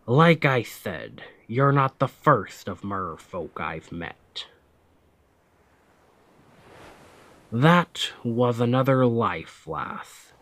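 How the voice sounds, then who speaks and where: A man playing a stern, commanding pirate captain with gruff protectiveness in an ASMR roleplay, speaking deliberately and with authority. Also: big pitch swings, fairly bright tone, dry recording. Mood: stern, pragmatic. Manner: slow, deliberate, authoritative, phrases trailing low.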